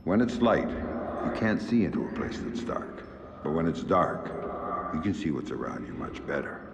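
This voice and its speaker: A gruff American secret agent and tender father in a video game. His voice is extremely deep and gravelly, with a quiet, measured delivery. It sounds roomy, with heavy noise behind it. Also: big pitch swings, exaggerated voice. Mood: soothing.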